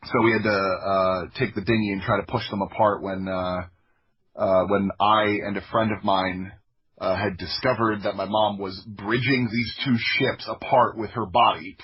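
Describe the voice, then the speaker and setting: Deep, husky-voiced man telling personal stories. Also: steady tone, normal speed, dull audio. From Florida, United States. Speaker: podcast guest, audio drama creator. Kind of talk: personal storytelling in an interview.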